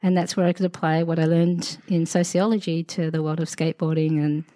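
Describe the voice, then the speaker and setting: An Australian woman in her forties talks through a relaxed interview in a mellow, low-ish voice, rambling and full of asides. The sound is dry and close, with no room echo. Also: brisk. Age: 40s. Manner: chatty and rambling, many fillers and asides, laughs often. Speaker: podcast guest, sociologist. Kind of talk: casual conversational interview.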